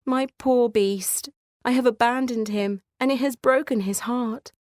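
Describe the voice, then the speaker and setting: A woman's voice: on the high side, steady tone, a little slow, darkish tone, no echo, pitched above the performer's own voice, close to an everyday voice. A tender young storybook heroine, played by a woman narrator. She is an earnest, emotional and devoted daughter, heard close and dry. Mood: remorseful.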